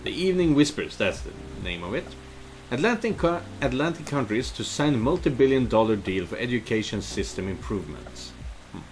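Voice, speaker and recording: A man in his thirties giving casual, halting let's play commentary and reading game text aloud. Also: medium pitch, very animated. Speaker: game streamer. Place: indoor room at home. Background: game music and rain sounds.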